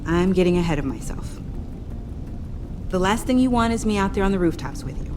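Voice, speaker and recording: A woman's voice: on the low side, animated, a little fast, over music, close to an everyday voice. An actress playing a caring, eager young woman in a video game, talking in an earnest, direct, conversational way. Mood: sheepish, concerned.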